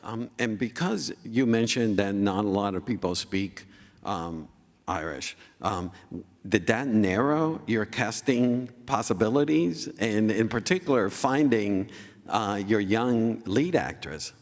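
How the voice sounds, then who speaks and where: A man moderating a festival panel, asking questions in a measured way with pauses. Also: lowish voice, expressive. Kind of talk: interview questions. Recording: long hall reverb.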